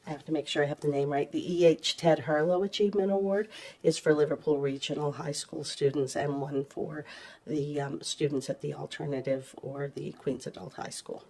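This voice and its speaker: A woman with a low voice, giving a hesitant report to a council with many ums and restarts. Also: fairly even intonation, normal speed, mellow. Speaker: municipal clerk. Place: council chamber. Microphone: table microphone.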